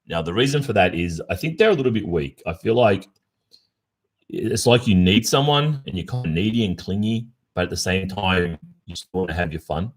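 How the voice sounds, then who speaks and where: Very deep-voiced Australian man. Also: lively intonation, normal speed. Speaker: ASMR roleplay creator and livestream host. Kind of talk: casual livestream Q&A chat.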